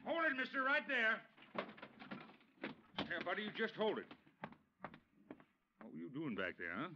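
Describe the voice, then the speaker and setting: An actor playing a big, suspicious, gruff truck driver barking challenges in an old-time radio drama. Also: male voice, high-pitched, wildly swooping pitch, a little slow, exaggerated voice. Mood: aggressive, suspicious. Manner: challenging, shouted commands. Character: large, gruff, suspicious man driving a truck. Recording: noisy muffled radio recording, vehicle sounds.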